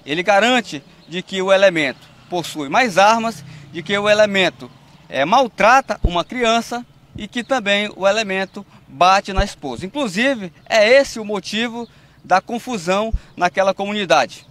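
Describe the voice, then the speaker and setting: A man in his thirties with a northern Brazilian accent reports from outdoors into a handheld mic. His voice sits high, and his delivery is formal with the odd restart. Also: animated, moderate pace, fairly bright tone. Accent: Brazilian Portuguese, northern Pará. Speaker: field reporter. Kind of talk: news report on location. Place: outdoors beside a police truck.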